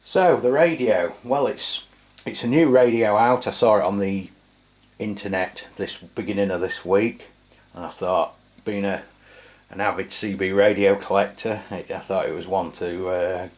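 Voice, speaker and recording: Man, middle-aged, English accent, low voice, casual reviewer, hesitant and rambling delivery. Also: normal speed. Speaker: radio review channel host.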